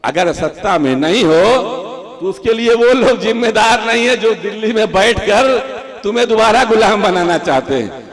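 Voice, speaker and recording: A man giving a fiery political speech at a rally, full of rhetorical questions and bursts of shouting. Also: high-pitched, lively intonation, moderate pace.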